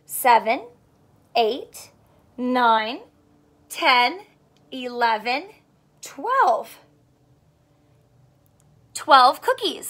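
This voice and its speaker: Young lady teacher doing a kids read-aloud, really high bright voice, asks little questions. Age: young adult. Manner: animated, simple questioning addressed to young children. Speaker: teacher reading aloud to children. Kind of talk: children's read-aloud with a math lesson. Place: small furnished room indoors.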